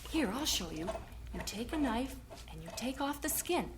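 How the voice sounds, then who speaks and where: A woman's voice: on the high side, sing-song, normal speed, noisy background. A woman playing a patient missionary wife and mother in an audio drama, instructive and homely.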